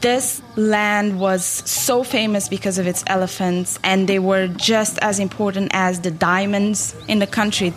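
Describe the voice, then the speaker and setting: A woman's voice: medium pitch, fairly even intonation, measured pace, few pauses. A woman giving earnest spoken commentary as a documentary contributor. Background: faint music.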